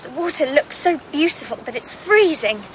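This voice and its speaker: An actress playing a petulant, jealous young wife in a radio drama, sulky and sharp. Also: female voice, sits high, animated, normal speed, background noise. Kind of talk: BBC radio drama acting.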